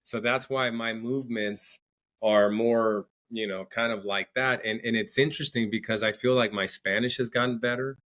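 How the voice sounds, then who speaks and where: A man's voice: mid-range voice, fairly even intonation, normal speed, fairly bright tone. A man speaking as a podcast guest, explaining things conversationally and often repeating words as he goes. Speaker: podcast guest, entrepreneur and coach.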